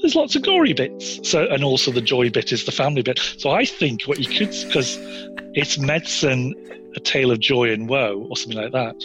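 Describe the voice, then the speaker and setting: A man's voice: mid-range voice, very animated, a little fast. Musing older British man, dry humor. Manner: musing, searching for words, dry jokes. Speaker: doctor, host's father. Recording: slightly dull sound with music.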